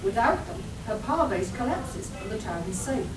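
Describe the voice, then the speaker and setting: A woman playing a curious, breezily scheming adventurer scientist in a live radio play, explaining things briskly and matter-of-factly. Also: sing-song. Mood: confident, scheming. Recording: echoey hall, distant mic, noisy rumble.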